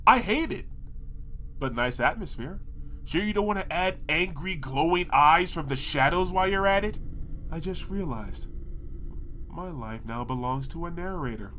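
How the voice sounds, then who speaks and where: Male actor as sarcastic, put-upon cartoon pony for comedy horror audio drama; ranting delivery, very animated intonation, some noise behind. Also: on the high side, moderate pace. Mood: sarcastic exasperation. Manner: sarcastic, ranting, talking back to the narrator. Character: exasperated, sarcastic male cartoon pony trapped in a haunted mansion.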